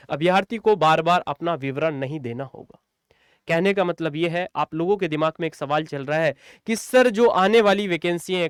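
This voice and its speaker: A man's voice: a little high, clear, resonant tone, no room sound, studio quality. A man speaking as a coaching teacher, explaining an update to students in an emphatic, repetitive, direct way.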